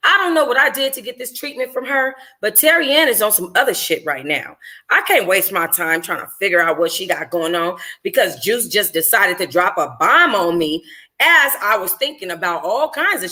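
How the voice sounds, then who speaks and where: Book club host: woman, bright forceful voice, informal and sassy, reading like a casual livestream. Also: mid-range voice.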